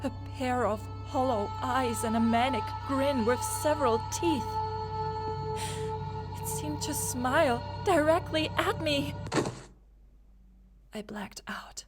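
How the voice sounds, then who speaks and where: A woman's voice: high-pitched, takes it slowly, long pauses. A woman giving suspenseful, atmospheric first-person narration of a visual novel, acting as a college student. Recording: loud music under the voice. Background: prominent background music.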